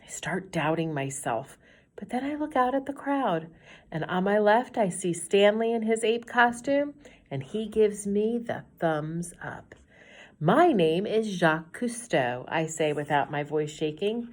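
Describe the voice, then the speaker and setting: A middle-aged woman teacher reading a children's chapter book aloud in a gentle storytelling way, sometimes hushing to a whisper. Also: medium pitch, animated, measured pace.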